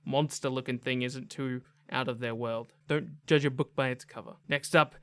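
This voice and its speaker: A man's voice: medium pitch, steady tone, normal speed, fairly bright tone, smooth. A young man hosting a gaming video with casual, enthusiastic, slangy commentary. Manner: chatty, slangy, effusive.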